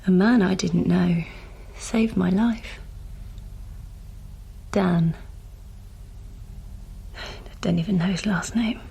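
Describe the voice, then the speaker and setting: Slow, gravelly, frightened survivor. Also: female voice, fairly low pitch, mellow, full character voice. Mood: scared, self-hating. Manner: halting, breathy whispered confession. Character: frightened, self-blaming young woman survivor of a Martian invasion. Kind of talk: audio drama acting.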